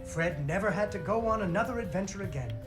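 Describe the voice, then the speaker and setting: A storybook mother voiced by a woman narrates a game's adventure tale. Her voice sits at a middle pitch and moves in lively swoops, with dramatic pauses. Music plays behind her. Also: natural voice. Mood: dramatic storytelling. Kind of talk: storybook narration in a video game.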